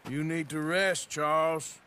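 An actor playing a gruff, sardonic American outlaw in his thirties, dryly insulting in a video game cutscene. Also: on the high side, little pitch movement, a little slow, pushed and bright. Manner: dry, insulting sarcasm. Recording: clear background noise.

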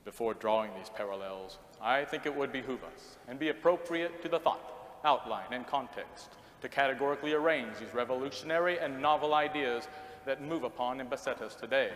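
An American middle-aged man playing a formal, long-winded minister of the early 1900s, preaching in measured, pompous oratory. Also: medium pitch, a bit bright, natural voice. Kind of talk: scripted stage sermon. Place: large theater stage. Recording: echoey theater hall, background hiss.

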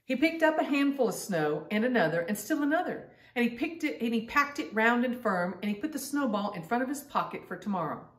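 A woman's voice: fairly high pitch, sing-song, a little fast, few pauses. A middle-aged woman hosting a storytime, reading a picture book aloud and chatting directly to young listeners in simple words. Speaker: storytime host reading to grandchildren. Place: living room at home, indoors. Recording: roomy echo, distant microphone.

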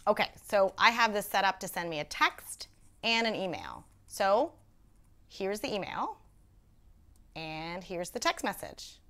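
A woman in her thirties hosting an instructional video, explaining each step clearly to camera with conversational asides. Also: medium pitch, expressive, measured pace, fairly bright tone. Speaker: video host and presenter. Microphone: lapel mic.

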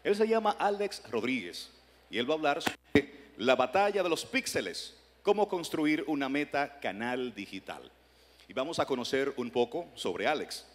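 A man's voice: mid-range voice, very animated, moderate pace, slight background noise. A man emceeing a conference, reading a formal speaker introduction from the stage into a handheld mic. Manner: formal, read-aloud introduction. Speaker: event emcee. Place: large conference hall stage. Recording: long hall reverb.

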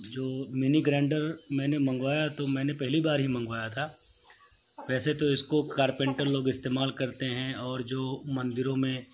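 A man's voice: mid-range voice, a little hiss or hum. A man in his thirties, a wood polisher, explaining his work step by step in a plain, practical way, with small restarts. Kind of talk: how-to voice-over.

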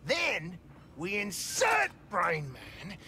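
A man's voice: sits high, huge pitch swings, extremely slow, vocal fry, sharp tone, shouting, slight background noise, exaggerated voice. A male actor voicing a cocky, crass supervillain rogue in a video game, brash and joking. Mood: crass, gloating.

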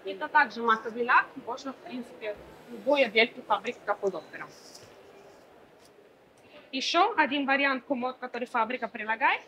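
A woman's voice: on the high side, animated, a little fast, bright, forceful tone, low-quality recording. A woman in her thirties presenting furniture at a fair stand, explaining fluently with many small fillers. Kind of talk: product demonstration.

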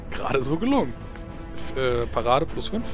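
A man running a tabletop combat as game master, talking casually and a bit haltingly as he narrates. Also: medium pitch, big pitch swings, lo-fi sound. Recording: music bed behind voice. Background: background music.

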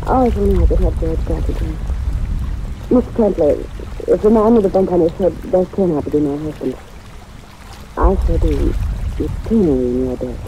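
An actress playing a poised, brisk married woman in 1940s radio style, guarded and theatrical. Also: sits low, dark tone, close to an everyday voice. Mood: wistful, hopeful. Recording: muffled old radio recording, rain noise.

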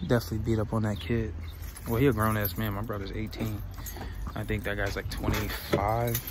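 Rambling young man vlogging from a car. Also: lowish voice. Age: young adult. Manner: loose and rambling, swears casually. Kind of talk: casual vlog talk to camera. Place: parked car. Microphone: phone at arm's length. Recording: loud background noise in a car.

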